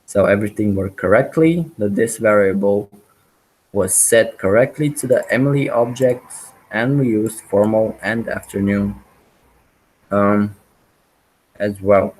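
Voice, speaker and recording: A man teaching a programming webinar, walking through live code with many pauses, ums and restarts. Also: lowish voice, a little mellow, rough recording. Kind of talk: live coding walkthrough.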